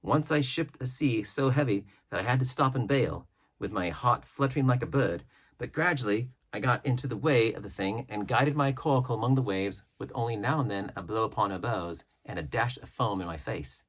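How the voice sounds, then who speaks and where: A man reading a classic adventure novel aloud as an audiobook, with a dramatic, varied storytelling delivery and deliberate pauses. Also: mid-range voice, breathy edge. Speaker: audiobook reader.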